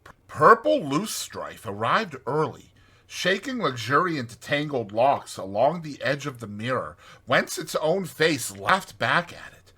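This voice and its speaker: Bright, husky male audiobook narrator. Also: medium pitch, a little slow. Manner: expressive, theatrical. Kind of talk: dramatized storybook narration.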